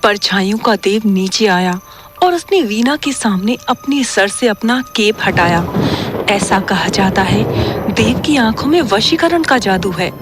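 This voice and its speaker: A woman's voice: mid-range voice, lively intonation, unhurried, music in the background. A woman narrating a horror audio story in a dramatic, scripted style.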